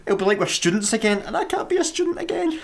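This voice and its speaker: An actress playing an anxious, sardonic young jobseeker in a radio comedy, fretful, grumbling and dramatic. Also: female voice, fairly high pitch, very animated, fast talker. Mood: panicked. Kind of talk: radio sci-fi comedy acting.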